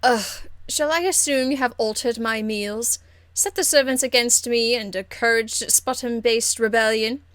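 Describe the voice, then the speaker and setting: A woman performs an aristocratic female mage in a fantasy video game. She speaks formally and with measured condescension, icily polite, in a fairly high voice with a close, dry sound. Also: fairly bright tone, dry recording. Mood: cold condescension. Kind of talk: video game character acting.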